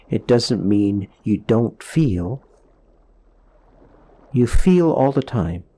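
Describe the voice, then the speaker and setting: A man leading a guided meditation in a soothing, gentle, explanatory way, leaving pauses between phrases. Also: on the low side, breathy edge.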